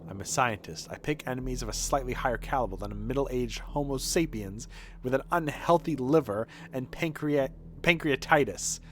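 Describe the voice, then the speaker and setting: A man's voice: medium pitch, normal speed, a little hiss or hum, natural voice. A man voicing a haughty, condescending physician with a dry, formal manner, reading game dialogue. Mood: disdainful. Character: haughty male physician and scientist, dry and clinical.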